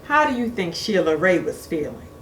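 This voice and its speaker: A woman reading a children's storybook aloud in an expressive, deliberate way, like a teacher at storytime. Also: on the low side. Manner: expressive, deliberate, engages young listeners with questions. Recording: echoey room with faint noise.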